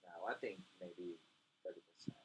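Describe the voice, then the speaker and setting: A man's voice: low voice, steady tone, slight background noise, low-quality recording. A young man from Costa Rica and Venezuela, a poker player chatting casually as an interview guest at home, rambling with lots of "like". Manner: fast, rambling, many fillers "like". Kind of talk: casual chat.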